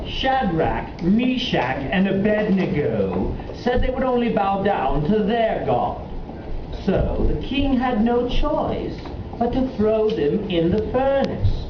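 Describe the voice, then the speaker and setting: A man voicing an earnest, puzzled royal assistant in a children's puppet show, telling things deliberately. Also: high-pitched, big pitch swings, heavily acted. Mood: earnest storytelling. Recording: echoey, muffled, noisy room, far from mic.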